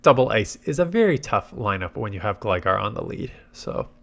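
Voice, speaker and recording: A man's voice: lowish voice, very animated, brisk, gravelly, fairly bright tone. A man congested with a head cold gives casual gameplay commentary, thinking aloud through his tactics. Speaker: game commentator and video host.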